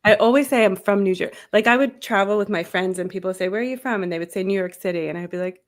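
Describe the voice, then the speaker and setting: A woman's voice: mid-range voice, fast talker. A bubbly woman from New Jersey chats as a guest on a live show. She laughs easily and fills her talk with "like" as she rambles along. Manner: bubbly, laughs often, uses "like" a lot. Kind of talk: casual chat.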